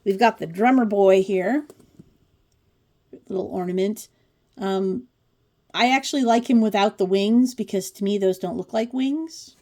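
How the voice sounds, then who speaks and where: A woman's voice: mid-range voice, unhurried, long pauses, darkish tone. Casting: woman in her forties, mid-range voice, informal craft host with a chatty, dryly humorous delivery. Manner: chatty, wry asides. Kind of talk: casual commentary on a pattern book flip-through.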